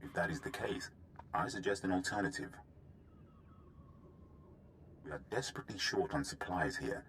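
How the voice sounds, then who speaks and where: Game role: grave male officer, very low voice, measured formal delivery, clear background noise. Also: lively intonation, fairly bright tone. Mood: cautious, advising. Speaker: video game character. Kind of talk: scripted game dialogue.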